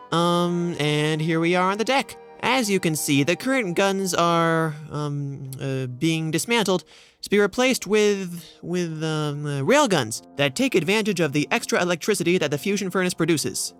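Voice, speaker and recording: A man's voice: fairly high pitch, a little slow, a bit bright, faint music behind. A man playing a timid, polite news reporter in an audio drama, hesitant and earnest. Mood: nervously earnest.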